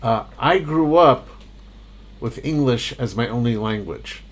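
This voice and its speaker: A man's voice: medium pitch, animated, unhurried. A middle-aged man, the interviewee's son, adding family details in casual conversation with chatty restarts.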